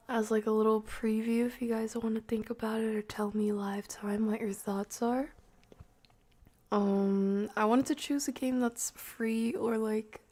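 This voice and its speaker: A woman streams a game and chats casually with viewers. The voice has plenty of fry and moves slowly, full of wry, rambling asides. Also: medium pitch, a little mellow. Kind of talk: casual live gameplay chat.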